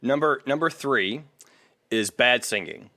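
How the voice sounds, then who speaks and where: A man's voice: mid-range voice, animated, measured pace, bright, forceful tone, no room sound. A man hosting a podcast, discussing music casually and bluntly, laying out his opinions point by point. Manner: blunt, makes points as a numbered list. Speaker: podcast host.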